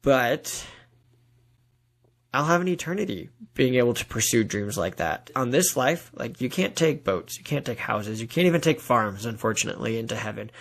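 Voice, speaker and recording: A man with a medium-pitched voice talks as a podcast guest. He is earnest and exhorting, explaining his points in a conversational way with illustrations. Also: lively intonation, moderate pace, creaky, a bit bright. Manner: earnest exhortation, talks in analogies and imagined dialogue. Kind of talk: earnest testimony in a podcast interview.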